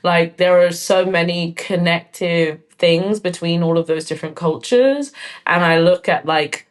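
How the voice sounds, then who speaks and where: A woman talks through her ideas as an interview guest. Her voice sits low for a woman. She thinks aloud, filling pauses with "like" and "um.". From London. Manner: thoughtful, frequent "like" and "um" fillers. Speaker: guest artist and filmmaker. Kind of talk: casual conversational interview.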